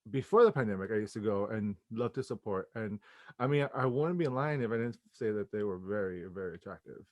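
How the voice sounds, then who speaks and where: A man's voice: lowish voice, brisk, dry recording. A man with an American accent talking casually as an interview guest, in a hesitant, giggly manner. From Los Angeles, United States.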